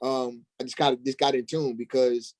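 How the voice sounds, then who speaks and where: A man of average pitch talking casually as an interview guest. He recounts his experiences as a stage actor in a conversational style. The sound is dry, with no room echo. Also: flat delivery, normal speed, a little mellow.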